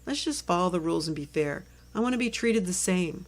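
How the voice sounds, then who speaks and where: A woman's voice: lowish voice, lively intonation, fairly quick. Woman as a kind, serious young schoolgirl. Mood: gently serious.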